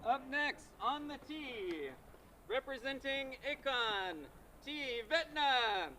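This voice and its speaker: Man, tee announcer, outdoors, slow, high pitch, noisy surroundings. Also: animated, pushed and bright. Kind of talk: player introductions. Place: outdoors at the course.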